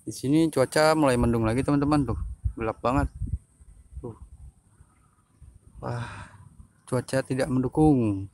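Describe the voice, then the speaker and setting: A man's voice: medium pitch, unhurried, pauses often, darkish tone, a little hiss or hum. A young man from Indonesia, chatting informally to his viewers as a fishing vlogger, outdoors on a handheld phone. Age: young adult. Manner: informal, often addressing viewers as friends. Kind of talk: casual chat to camera. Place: outdoors by a pond and fields.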